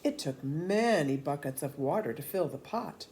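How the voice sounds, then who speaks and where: A woman narrating a storybook for children in an expressive, deliberate, animated way. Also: sits low, slight background noise. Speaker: children's read-aloud storyteller.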